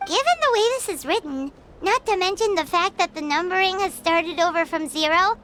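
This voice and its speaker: A woman's voice: extremely high pitch, expressive, fairly quick, bright, forceful tone, a little hiss or hum, full character voice. A woman voicing a small, childlike, inquisitive fairy companion in a video game, reasoning aloud. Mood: curious, puzzled.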